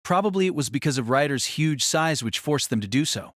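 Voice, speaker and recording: A man's voice: on the high side, lively intonation, a little fast, no echo, studio quality. A man narrating a novel as a scripted audio drama.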